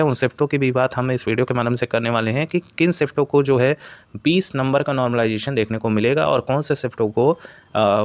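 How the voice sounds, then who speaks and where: A man's voice: mid-range voice, no room sound, muffled recording. A man giving an informal explainer as an exam coaching presenter, often padding his points with stock phrases.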